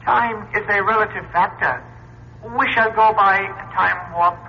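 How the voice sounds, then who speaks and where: An actor playing a courteous but imperious disembodied alien in a vintage radio drama, with formal, measured, pedantic diction. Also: male voice, high-pitched, vocal fry, breathy edge, full character voice. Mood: calmly imperious. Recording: dull, noisy old radio recording.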